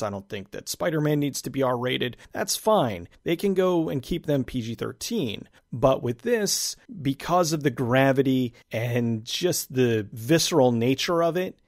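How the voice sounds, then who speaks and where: A man giving a casual, enthusiastic movie review, his voice raspy and nearly gone. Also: medium pitch, no room sound, clean studio sound. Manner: enthusiastic, conversational, casual swearing.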